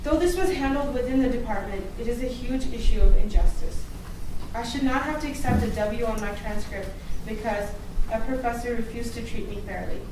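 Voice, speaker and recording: A woman's voice: a little high, brisk. Young woman reading aloud in an echoey hall. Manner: earnest, steady reading with small slips and restarts. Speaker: student council co-chair. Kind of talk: reading a written testimony aloud at a public forum. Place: university lecture room at a public event. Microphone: room recorder from a distance. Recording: distant, very echoey room recording with noise.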